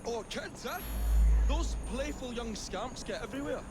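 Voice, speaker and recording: A man playing an eccentric, polite, nervy young Victorian gentleman inventor in a video game, with a British accent and a hesitant, wordy, theatrical way of talking. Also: very high voice, moderate pace, very noisy. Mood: defiant, feigned innocence. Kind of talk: video game cutscene acting.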